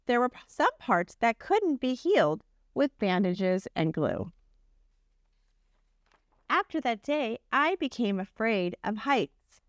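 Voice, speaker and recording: A woman's voice: on the high side, very animated, takes it slowly, no room sound, studio quality. A woman voicing a wistful, anxious but determined egg, telling its picture book story earnestly. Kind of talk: first-person picture book narration.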